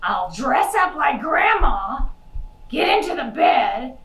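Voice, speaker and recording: Sneaky cartoonish puppet wolf, slow drawl, gravelly swoops, hammy villain, bumbling. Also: female voice, high-pitched, very animated, a bit bright, full character voice. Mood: scheming. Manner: hammy, cackling. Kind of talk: children's puppet show. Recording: roomy echo, distant mic, faint music.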